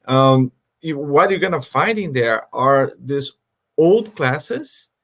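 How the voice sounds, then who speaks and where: A man's voice: mid-range voice, animated, slow pace, a bit bright. Man presenting slides on a call. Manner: hesitant, filler-heavy explaining. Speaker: guest speaker. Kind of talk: online conference presentation.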